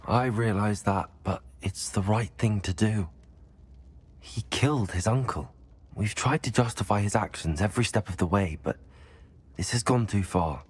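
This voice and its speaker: A man playing an earnest, polite young student wizard in a video game, speaking in a measured, formal way. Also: fairly low pitch, breathy edge. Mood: resolute, grave. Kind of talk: scripted video game dialogue.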